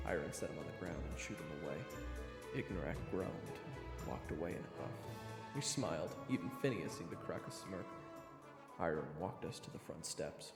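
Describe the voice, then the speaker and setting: A brash, wry adventurer, acted by a man tells his own fantasy story with sarcastic asides. His low, gravelly voice leaps around with great animation and sits in a reverberant, echoey sound with music underneath. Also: exaggerated voice. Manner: informal, wry, exclamatory. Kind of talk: first-person story narration.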